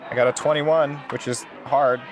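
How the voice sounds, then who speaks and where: A man's voice: mid-range voice, fairly even intonation, normal speed, a faint crowd, poor audio. A man playing a tabletop role-playing game, casually discussing rolls and his character's plans. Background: music.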